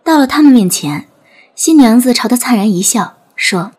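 A woman's voice: on the high side, animated, normal speed, darkish tone, slightly rough. A woman narrating a scripted dramatic audiobook story. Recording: music bed under narration.

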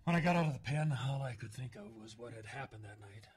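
A man's voice: mid-range voice, expressive, fast, slight background noise, close to an everyday voice. An actor playing a haunted older man telling stories in an audio drama, plain-spoken and folksy, with clipped phrasing and wry humor. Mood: haunted, grim.

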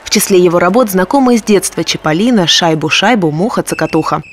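A woman's voice: fairly low pitch, animated, fast, heavy background noise. A woman news reporter reading scripted narration for a television report.

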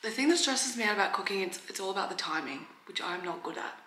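A woman's voice: medium pitch, expressive, fast talker, sharp tone. A woman, chatting casually to camera while she cooks, full of "like" and fillers. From Australia. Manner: chatty, filler-heavy with frequent "like". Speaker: vlogger. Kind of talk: casual vlog chat. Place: home kitchen, indoors. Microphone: camera mic, handheld or propped close. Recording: echoey kitchen with clear background noise.

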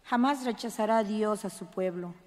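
A woman reads scripture from a church lectern, steady and careful in her recitation. Her pitch is mid-range for a woman. The reading carries a long church reverb. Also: moderate pace. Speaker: lector. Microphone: lectern gooseneck mic.

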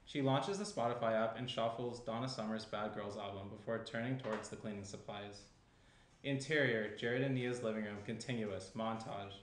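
A man's voice: fairly low pitch, fairly even intonation, moderate pace. Young man narrating in a roomy space. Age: young adult. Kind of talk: scripted screenplay narration. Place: small indoor room at a round table. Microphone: shared desk microphone. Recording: roomy echo, faint noise.

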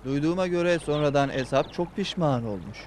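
A man's voice: on the high side, expressive, a little fast, darkish tone, slight background noise, natural voice. A man playing a villager passing on news in a religious cartoon. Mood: informative.

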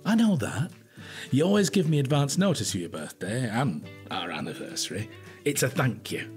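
An older British man acting a shrewd, blunt, gruffly affectionate detective inspector and husband in a naturalistic radio drama. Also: medium pitch, very animated, moderate pace, darkish tone, soft background music, lightly acted. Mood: gruffly fond. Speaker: actor in an audio drama.

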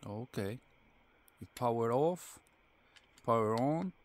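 A man reviewing a product casually, thinking aloud in short, halting fragments. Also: medium pitch, animated.

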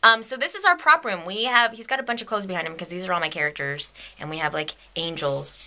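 A woman's voice: lowish voice, fast, bright, forceful tone. A young woman in her twenties vlogging in a casual, rambling, giggly way, sleepy and a bit delirious, sometimes dropping to a whisper, filmed up close on a handheld camera in a small home studio. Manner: bubbly, rambling, giggly, drops to a whisper at times. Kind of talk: casual vlog chat. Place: home video studio with a big red chair and green screen. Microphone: handheld camera held close to the face.

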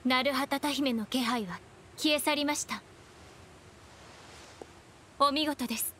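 High-voiced, formal village attendant. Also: female voice, a bit bright, slight background noise. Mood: composed, formal. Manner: polite, measured, formal. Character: composed, formal female village attendant to hunters. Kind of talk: dramatic Japanese video game acting.

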